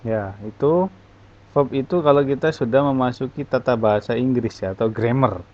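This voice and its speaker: Man, English teacher, casual class talk, relaxed fillers, dull lo-fi audio. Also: mid-range voice, moderate pace. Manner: relaxed, explains with many fillers.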